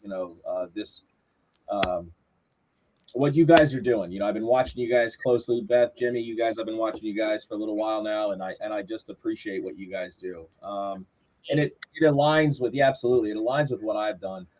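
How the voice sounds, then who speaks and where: A man's voice: lowish voice, a little fast, a little mellow, a little hiss or hum, rough recording. A man in his forties from Florida, a podcast guest chatting and telling long rambling stories about his life. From Southwest Florida, United States. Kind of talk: casual chat.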